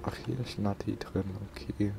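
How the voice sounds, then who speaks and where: Low, creaky-voiced man gaming. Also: fairly even intonation, moderate pace, music in the background. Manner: grumbling, talks to himself. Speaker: let's player. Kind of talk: casual gameplay commentary. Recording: game sounds and ambience behind.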